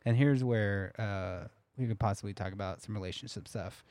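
Casting: young male podcast host, low voice, rambling and laughing, dry close mic. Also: moderate pace, darkish tone, no room sound. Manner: rambling, laughing, many restarts. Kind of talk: casual storytelling chat.

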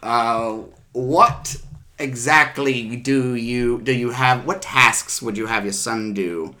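A man's voice: mid-range voice, slow, sharp tone. Playful male game show host. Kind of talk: comedic board game review.

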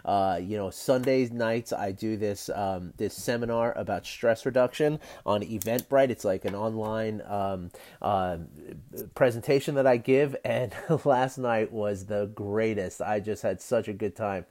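A man with a scratchy, worn voice hosts a solo podcast, wandering through his thoughts in an earnest, unscripted way. He fills pauses often and breaks into small laughs as he goes. His voice sits a little low. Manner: rambling and earnest, frequent fillers and soft laughs. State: scratchy, tired voice. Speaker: podcast host. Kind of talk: casual solo podcast talk.

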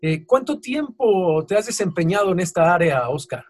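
High-voiced Mexican male host. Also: sing-song, normal speed. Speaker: interview host. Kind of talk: conversational interviewing.

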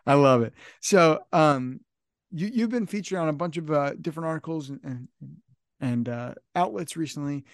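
A man's voice: a little high, moderate pace, dry recording, clean studio sound. A young man hosting a casual podcast interview, enthusiastic and repeating his phrases as he talks. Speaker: podcast host.

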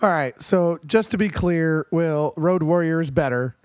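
A man's voice: fairly high pitch, a little slow, a little mellow. A man co-hosting a casual podcast debate, losing his voice and making crude jokes as he argues. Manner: joking, mock-aggressive. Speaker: podcast co-host.

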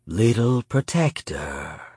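A cold, contemptuous female spirit acted out by a man, purring her threats at a very slow, drawn-out pace. The storybook villain sounds predatory and mocking, recorded close and dry. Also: lowish voice, sing-song, darkish tone, breathy edge, dry recording, full character voice. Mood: cruel, predatory.

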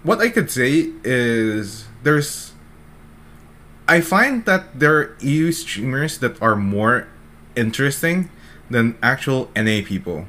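A man's voice: mid-range voice, expressive, slow, bright, forceful tone. A man from the Philippines hosting a casual streamer podcast, rambling through questions with many filler words. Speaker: podcast host. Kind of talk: casual chat.

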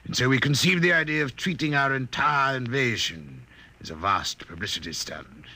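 A man's voice: medium pitch, animated, moderate pace, sharp tone. An actor playing a suave, sinister male Martian invader disguised as a man, in a 1950s radio drama. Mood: menacing. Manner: smooth, mocking, gloating villain.

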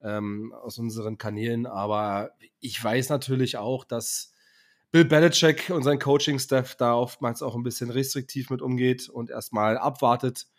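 A man's voice: medium pitch, flat delivery, normal speed. A young man co-hosting a casual podcast, a bit under the weather, speaking hesitantly with many fillers and hedges. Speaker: podcast co-host.

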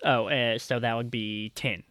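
A man's voice: medium pitch, steady tone, unhurried, fairly bright tone, dry recording. A man playing a tabletop role-playing game, casually and somewhat tentatively saying what his character does and asking questions.

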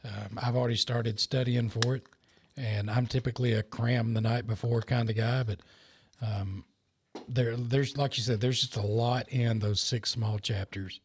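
A man's voice: medium pitch, fairly even intonation, normal speed, a little hiss or hum. A man co-hosting a church podcast, tired and wry, with dry jokes. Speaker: podcast co-host. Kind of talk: casual church podcast chat.

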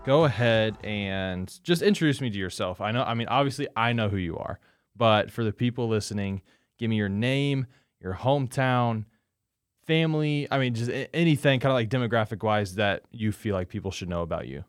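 A man's voice: fairly low pitch, a little fast, smooth, dry recording, studio quality. A man in his twenties hosting a podcast, reading a scripted intro and then asking easygoing interview questions. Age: 20s. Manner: easygoing, rephrases questions as he asks them. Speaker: podcast host.

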